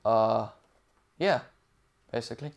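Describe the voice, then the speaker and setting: A man's voice: mid-range voice, slow pace, creaky, slight background noise. A thirteen-year-old boy with an English accent, hosting his own piano livestream in a laid-back, casual way with short replies full of fillers. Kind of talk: casual chat.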